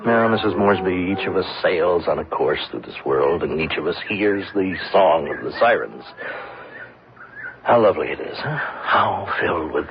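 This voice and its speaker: An actor playing a despairing, wistful ruined businessman, musing haltingly in literary phrases in an old-time radio drama. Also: sits low, lively intonation. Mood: bitter, brooding. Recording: muffled vintage radio recording with music.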